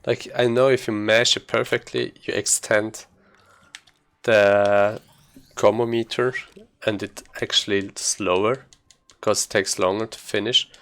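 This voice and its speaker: A man with a fairly low voice and a heavy creak talks through a video game run. He explains his choices casually, in the informal style of a speedrunner commentating. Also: fairly even intonation, a little slow, fairly bright tone.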